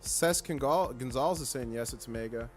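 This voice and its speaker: A man hosting a casual live stream with a hoarse voice, chatty and excitable, reading viewer comments aloud. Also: mid-range voice, normal speed, faint music behind.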